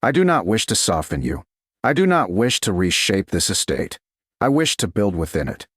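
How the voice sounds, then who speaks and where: A man voicing a humble, practical woman in a romance story, quiet, even-tempered and plain-spoken. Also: lowish voice, normal speed, breathy edge, dry recording, clean studio sound, full character voice. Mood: even, earnest.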